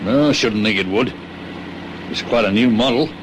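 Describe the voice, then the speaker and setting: An actor playing a calm, steady getaway driver in a radio crime drama, laconic and matter-of-fact, with an Australian accent. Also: male voice, medium pitch, moderate pace, noisy background, close to an everyday voice.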